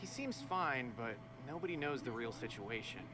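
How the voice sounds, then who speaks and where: A man's voice: mid-range voice, lively intonation, normal speed, heavy background noise, lightly acted. An actor playing a dutiful, friendly male law officer in a video game, speaking earnestly and politely as he explains. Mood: uneasy respect.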